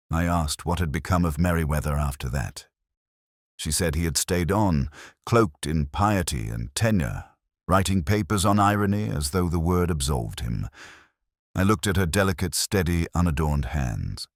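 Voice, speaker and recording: A man reading scripted first-person mystery narration in a measured, literary, melancholy manner. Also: rumbling low, no room sound, clean studio sound.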